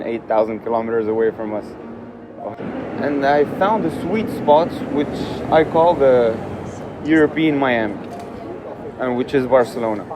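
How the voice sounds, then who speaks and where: A man from Morocco in his twenties, answering interview questions in a candid, easygoing way with frequent fillers. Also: medium pitch. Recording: music under voice.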